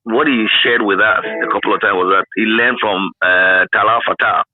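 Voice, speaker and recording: Ghanaian guy chatting about old memories, low voice, thin phone sound, repeats himself to make a point. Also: fairly even intonation, moderate pace, soft background music. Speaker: interviewee, former radio broadcaster. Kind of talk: reminiscing in a casual interview. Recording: narrow phone-like line.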